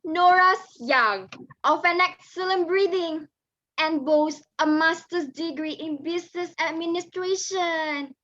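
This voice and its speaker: A woman playing a haughty, boastful mother of high standing in a dramatised reading, with a smug, theatrical manner. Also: takes it slowly, fairly bright tone, dull audio, heavily acted. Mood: indignant boasting. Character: haughty, boastful Datin bargaining over her daughter's bride price.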